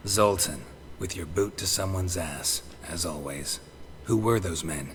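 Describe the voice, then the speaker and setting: An extremely low-voiced male monster hunter from a fantasy video game. He is stoic and world-weary, delivering terse, deadpan lines. Clear background noise sits under his voice. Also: measured pace. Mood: wry.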